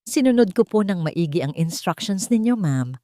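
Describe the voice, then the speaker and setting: A woman voicing a timid, worried young housemaid in an audio story, polite and gentle. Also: on the low side, animated, normal speed, no room sound, studio quality, lightly acted. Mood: worried, deferential.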